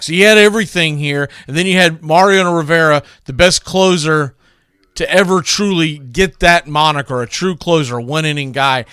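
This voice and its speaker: Guy hosting a baseball radio show, smooth clear voice, dry close sound, chatty with opinions. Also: a little high, sharp tone, dry recording. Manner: conversational, opinionated. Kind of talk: commentary introducing classic baseball calls.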